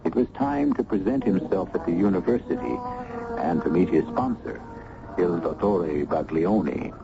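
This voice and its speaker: Deep-voiced male radio mystery narrator. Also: expressive, creaky. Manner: theatrical, measured, literary. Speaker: host and narrator. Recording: dull old radio recording. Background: music.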